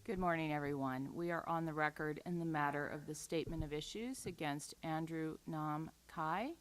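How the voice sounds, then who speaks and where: Low-pitched woman, judge running a formal hearing, measured and procedural. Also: slight background noise. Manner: measured, procedural, spells out case numbers slowly. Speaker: administrative law judge.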